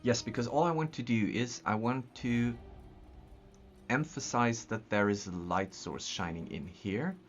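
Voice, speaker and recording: A man's voice: medium pitch, a little slow. A young man from Austria, a native German speaker with an Austrian accent, chatting on a live stream while building a map. He thinks aloud with many ums and restarts. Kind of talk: casual live-stream chat. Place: small room at a desk, indoors. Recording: slightly dull sound, faint music.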